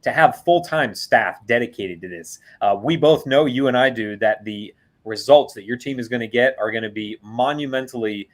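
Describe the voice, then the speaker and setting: A man's voice: medium pitch, moderate pace. A man hosting an interview podcast, introducing his guest and reacting with short affirmations. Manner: affirming, with frequent backchannels. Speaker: podcast host.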